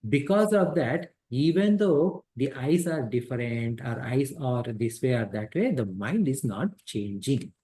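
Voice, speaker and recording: A middle-aged man leads a class at an unhurried, deliberate pace, his voice mellow and soft-edged. He builds each point step by step, repeats himself and keeps putting questions to the students. He talks into a headset microphone. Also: mid-range voice, lively intonation. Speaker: teacher. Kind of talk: interactive class on a scripture text.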